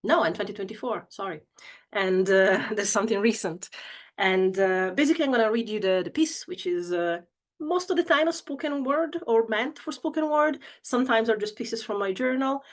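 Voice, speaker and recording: A woman's voice: fairly high pitch, normal speed. A woman from Italy with an Italian accent, an Italian native speaker, giving a reflective, hesitant talk to an audience about her writing, with many fillers.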